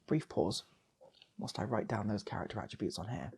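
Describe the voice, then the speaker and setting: A man's voice: fairly low pitch, lively intonation, fairly quick, vocal fry, background noise. A man improvising a comic story, rambling and repeating phrases as he acts out characters. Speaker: storyteller, channel host.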